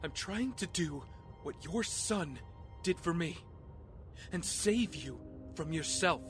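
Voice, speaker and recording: A man's voice: fairly high pitch, lively intonation, takes it slowly, over music. An actor playing an earnest, guilt-ridden young male hero in an audio drama, pleading emphatically. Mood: gentle resolve.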